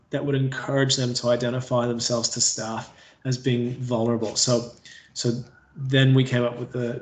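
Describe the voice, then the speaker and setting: A man's voice: medium pitch, reverberant. A man from Canada with a Canadian accent, presenting a staff training webinar on water safety, with frequent ums, uhs and restarts. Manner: hesitant, many fillers and restarts.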